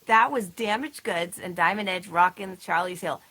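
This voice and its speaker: A woman's voice: fairly low pitch, moderate pace, bright, forceful tone, husky. A woman in a band chatting casually about past gigs on a livestream, with fillers and laughs. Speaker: band member co-hosting a livestream recap. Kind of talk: casual chat.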